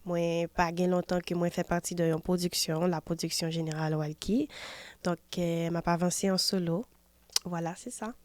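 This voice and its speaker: A woman's voice: lowish voice, fairly even intonation, normal speed, dry recording. A woman in her twenties from Haiti with a Haitian French accent, a singer answering interview questions hesitantly, with many fillers. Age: 20s. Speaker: singer guest. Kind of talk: casual interview.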